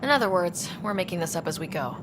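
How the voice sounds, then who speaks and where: A woman's voice: fairly low pitch, lively intonation, quick pace, long reverb tail, background noise, close to an everyday voice. A woman playing a wry, curious young space explorer in a video game, talking in a casual, dry way. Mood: businesslike.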